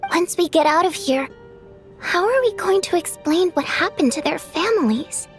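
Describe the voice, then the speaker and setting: Game casting: a kind little girl, frail yet plucky, high unhurried voice over faint music. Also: expressive. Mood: subdued. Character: kind, frank young girl, sickly but brave.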